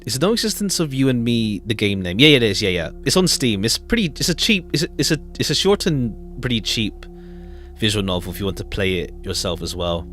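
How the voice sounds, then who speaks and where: A man's voice: lowish voice, big pitch swings, a little fast, a bit bright. A man streaming a game with casual commentary, rambling hesitantly and repeating phrases as he goes. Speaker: live streamer. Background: faint jazzy game music.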